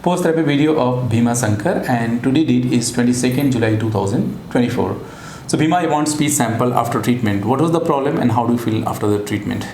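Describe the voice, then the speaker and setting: A man's voice: mid-range voice, expressive, racing, music in the background. A man with an Indian accent who works as a voice therapist, conducting a formal clinical interview to camera. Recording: echoey room with faint background noise.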